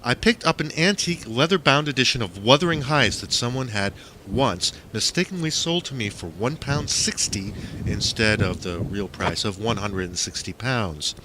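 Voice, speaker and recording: A man's voice: mid-range voice, lively intonation, moderate pace, noisy background. A man reading scripted, surreal first-person stories as an audiobook narrator, on a home recording.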